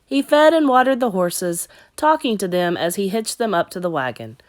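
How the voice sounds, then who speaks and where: A woman teacher narrating a children's story in an expressive read-aloud style. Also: medium pitch, moderate pace.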